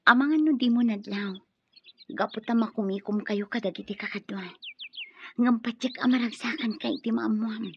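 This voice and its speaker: A woman's voice: fairly high pitch, steady tone, moderate pace, gravelly, slight background noise. A woman acting an earnest young lover who pleads and is easily hurt, in a radio drama with an Ilocano accent. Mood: tender, earnest. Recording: dull lo-fi audio over music.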